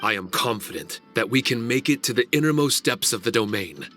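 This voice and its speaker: A man voicing an ancient male guardian spirit in a video game cutscene, formal, measured and grave. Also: medium pitch, animated, loud music behind the voice, close to an everyday voice. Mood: solemn.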